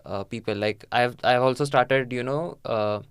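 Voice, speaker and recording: A man's voice: medium pitch, normal speed, clean tone, no room sound, studio quality. A young man in his twenties with an Indian accent, a podcast guest talking casually with many "like" fillers. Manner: hesitant, lots of "like" and "uh" fillers. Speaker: podcast guest, master's student. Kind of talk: casual interview conversation.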